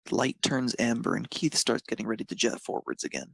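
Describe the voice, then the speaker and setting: A man's voice: medium pitch, a little fast, creaky, a bit bright, breathy edge, dry recording. A man narrating a piece of fan fiction, reading it aloud.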